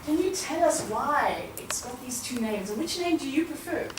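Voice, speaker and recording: A woman hosting an informal live interview in front of an audience, with a loud, chatty way of speaking. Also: high voice, lively intonation, moderate pace, roomy sound, recorded from a distance, heavy background noise. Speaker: event host interviewer.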